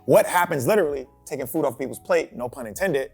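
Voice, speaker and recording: A man hosting a talk show, posing questions to the panel in an animated way and often checking in with "right?". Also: on the high side, fast, gravelly, breathy edge, soft background music. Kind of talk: moderating a discussion.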